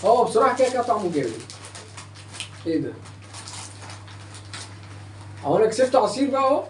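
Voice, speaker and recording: A young Egyptian man hosting a casual unboxing vlog, chatting to the camera in an excitable, boastful, emphatic way. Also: high-pitched, very fast. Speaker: vlog host. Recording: distant roomy mic with faint noise.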